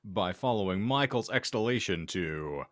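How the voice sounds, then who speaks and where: A deep-voiced man hosts a comedy podcast. His phrasing is florid and mock-formal, full of long words, and the recording is close and dry. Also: lively intonation, moderate pace, fairly bright tone, no echo. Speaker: podcast co-host and reader of fan fiction. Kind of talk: comedic podcast banter and introduction.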